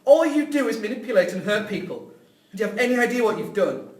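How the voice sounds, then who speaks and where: A high-pitched young man played by a male actor in an amateur audio drama. He sounds wounded, bitter and accusing. The voice is a little away from the mic in a roomy space. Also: lively intonation, fast talker. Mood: angry, bitter. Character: hurt, angry young man learning he is adopted. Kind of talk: amateur radio drama script reading. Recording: roomy, distant microphone.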